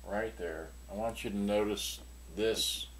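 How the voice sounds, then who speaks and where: A man's voice: fairly low pitch, a little slow. An older man giving a rambling, offhand product demonstration in a casual way. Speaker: seller demonstrating used electronics. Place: small cluttered indoor workshop. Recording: clearly noisy room, clicks of handled switches.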